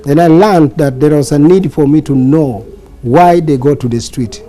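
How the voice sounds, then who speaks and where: Older Ugandan-born pastor, dark voice. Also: male voice, fairly high pitch, normal speed. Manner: earnest storytelling with restarts. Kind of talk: personal testimony.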